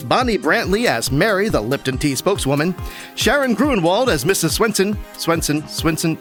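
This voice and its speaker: Guy announcer reading credits, kinda high animated voice, faint music behind. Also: normal speed.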